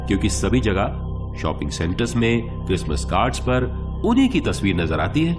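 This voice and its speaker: A man playing a suave quiz show host in a radio play, formal and theatrical, with dramatic pauses. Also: lowish voice, very animated, over loud music. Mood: showy, polite.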